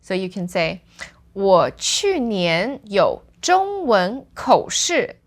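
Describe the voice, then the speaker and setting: A woman co-hosting a Chinese lesson, explaining words and saying slow, careful example sentences. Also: medium pitch. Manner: lively, switches into slow careful Mandarin examples. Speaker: Chinese teacher co-host. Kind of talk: language lesson chat.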